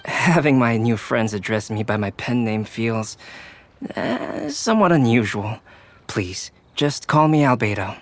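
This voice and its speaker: An actor playing a thoughtful young scholarly artist in a video game, polite, measured and reflective. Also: male voice, medium pitch, expressive. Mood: gracious.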